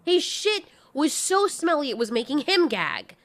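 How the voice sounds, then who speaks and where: A woman narrating a scripted story in an expressive, storytelling style. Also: high voice, a little slow.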